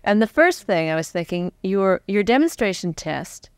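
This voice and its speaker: Casting: American woman, forties, interviewer, smooth clear voice at medium pitch, animated and conversational. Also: normal speed, no room sound, studio quality. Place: stage before a live audience. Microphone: large foam-covered microphone on a stand close by.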